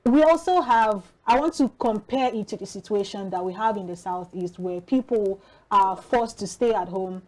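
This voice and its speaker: A woman's voice: medium pitch, normal speed, darkish tone, low-quality recording. Nigerian woman hosting a morning news discussion. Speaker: TV host.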